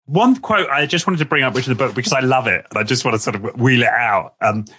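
A man with a husky voice hosts a conversational interview. He speaks informally, restarting phrases and tagging his points with quick questions. The sound is dry, with little room around the voice. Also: medium pitch, pushed and bright, no room sound. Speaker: interview host.

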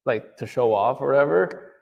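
A man's voice: medium pitch, lively intonation, moderate pace, gravelly, in a large hall, lo-fi sound. A man in his thirties, an auto detailer talking casually in an interview, full of fillers and asking "right?" a lot. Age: 30s. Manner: rambling, filler-heavy, says "right?" often. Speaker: auto detailer, business owner.

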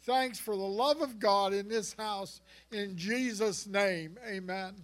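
A man's voice: sits high, slow, fairly bright tone. A man leading a reverent spoken prayer of blessing in short phrases addressed to God. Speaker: church member leading prayer.

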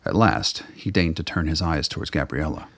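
Very deep, gravelly male narrator. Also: expressive. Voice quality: gravelly, husky. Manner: measured, storytelling. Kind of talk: dramatic audio-drama narration.

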